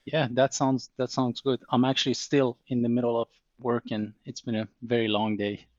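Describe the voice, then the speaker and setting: A man, a podcast guest, explaining his work in a measured way with frequent pauses. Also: mid-range voice, little pitch movement, dry recording, highs cut off. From Iran. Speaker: guest, oil trading commercial operator. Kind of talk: casual interview answers.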